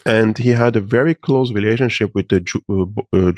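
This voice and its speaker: A young man from Syria, Arabic and Armenian his first languages, answering interview questions in a measured way with frequent pauses. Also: fairly low pitch, a little mellow, dry recording. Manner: measured, many pauses and "uh" fillers. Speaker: guest analyst.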